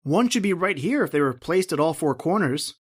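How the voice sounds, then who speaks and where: An actor playing a polite, deferential young fiancé growing uneasy, in old-time radio drama style. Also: male voice, on the high side, expressive, a little fast, slightly rough.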